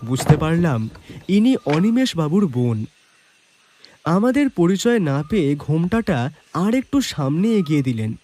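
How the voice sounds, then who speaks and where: Man as higher-voiced, expressive storyteller. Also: no echo. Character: expressive storyteller, the detective's male companion. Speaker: narrator. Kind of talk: dramatised scripted story narration.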